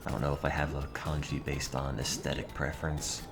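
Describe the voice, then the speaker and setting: A man's voice: extremely low pitch, little pitch movement, brisk, gravelly. A young adult man streaming and answering his chat in a dry, rambling, offhand way. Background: music playing.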